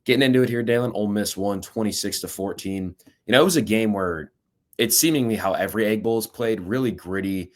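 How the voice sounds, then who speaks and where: Deep-voiced young male podcast host. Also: brisk. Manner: steady, scripted-sounding host intro with some fillers. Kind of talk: casual sports podcast intro.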